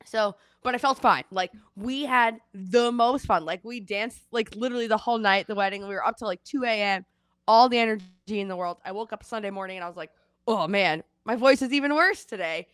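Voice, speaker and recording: Congested woman co-host, animated casual storyteller, dry sound. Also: medium pitch, fairly quick, clear, resonant tone, dry recording. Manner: chatty storytelling, animated retelling. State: congested, losing her voice. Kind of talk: casual chat. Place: at home in Seattle.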